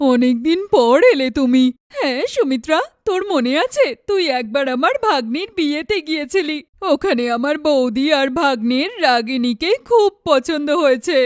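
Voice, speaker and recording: A woman's voice: sits high, dry recording, clean studio sound, pitched below the performer's own voice, lightly acted. A woman voicing a middle-aged mother figure in a cartoon, firm and motherly in manner. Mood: friendly. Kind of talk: animated moral-story cartoon acting.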